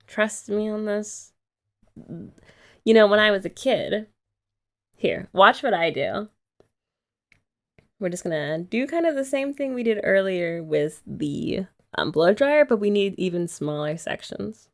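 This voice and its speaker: A woman's voice: medium pitch, animated, unhurried, pauses often. A young woman chatting playfully and teasingly in a girlfriend roleplay ASMR. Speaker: ASMR creator.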